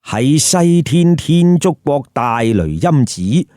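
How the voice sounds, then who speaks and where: A man voicing a bodhisattva disguised as a scabby monk, declaiming slowly and solemnly in a told tale. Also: on the high side, sing-song, warm tone, no room sound, studio quality.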